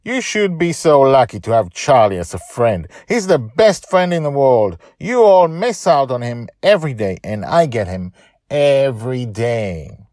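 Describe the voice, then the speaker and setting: A man's voice: medium pitch, sing-song, a little slow, a little mellow, no echo, studio quality, voice pushed up. A man voicing a defiant, loyal eight-year-old pirate girl in a storybook reading, bold, proud and emphatic. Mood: fiercely loyal.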